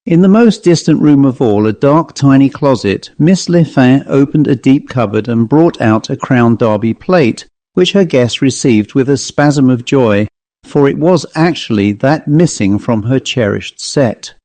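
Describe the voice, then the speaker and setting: A man's voice: mid-range voice, animated, a little slow, dark tone, no room sound. A man reading a classic ghost story aloud as an audiobook narrator, in a scripted literary style.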